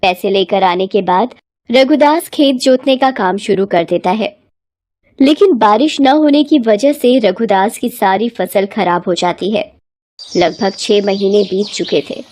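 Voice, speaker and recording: A woman's voice: medium pitch, a little slow, a little mellow, dry recording. A woman narrating a scripted moral bedtime story as its storyteller.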